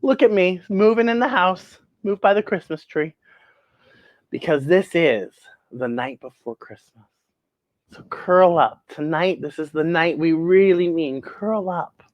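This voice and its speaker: A woman hosting a children's story show, reading aloud expressively with theatrical, drawn-out phrasing and emphatic pauses. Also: on the low side, expressive, dry recording, lo-fi sound. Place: indoors at home.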